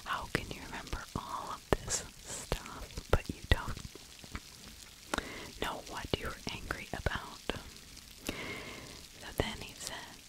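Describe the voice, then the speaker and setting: A middle-aged woman narrating true crime ASMR in a breathy whisper, close to the mic, with halting conversational asides. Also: rough recording. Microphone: close-up desktop microphone with fluffy windscreen.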